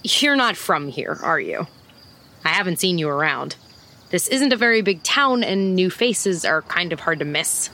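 A woman's voice: on the low side, big pitch swings, moderate pace, sharp tone, full character voice. A woman playing a flirty, wisecracking small-town private eye in an audio drama, with a teasing, bantering manner. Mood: intrigued, teasing.

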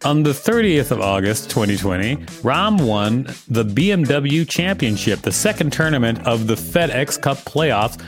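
Male co-host, read-aloud narration with dry teasing asides, soft music bed underneath. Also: mid-range voice, very animated, moderate pace, hardly any pauses. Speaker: podcast co-host reading an article aloud.

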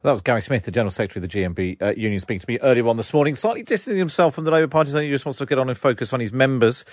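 A male radio presenter who asks brisk questions and links between segments, sometimes hesitating mid-question. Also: mid-range voice, dry recording, clean studio sound. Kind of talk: broadcast interview and links.

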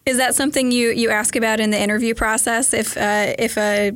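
A woman's voice: on the high side, fairly even intonation, a little fast, a bit bright, dry recording. A woman hosting a podcast, asking questions in a light manner and laughing easily. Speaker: podcast host. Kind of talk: interviewing.

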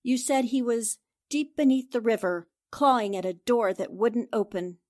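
A woman playing a shrewd, composed newspaper reporter, measured and accusatory, in 1940s-style radio drama. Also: fairly high pitch, warm tone, natural voice. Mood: explaining, grave.

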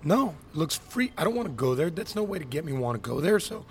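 A man from Miami hosting a casual podcast, an animated storyteller who likes to rant and act out quotes. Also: fairly high pitch, fast, a little hiss or hum. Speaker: podcast host.

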